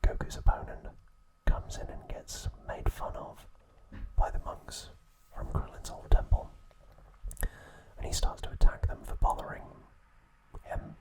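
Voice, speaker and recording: A man narrating an ASMR recap in a soft, breathy whisper, with measured delivery in short phrases. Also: rough recording. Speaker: ASMR video host.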